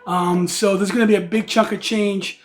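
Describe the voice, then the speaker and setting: Hoarse host in his forties, raspy and rather high, loose casual delivery full of fillers and restarts. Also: steady tone, normal speed, soft background music. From United States. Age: 40s. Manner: rambling with many fillers and restarts. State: hoarse from allergies. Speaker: reptile channel host. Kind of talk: casual vlog talk.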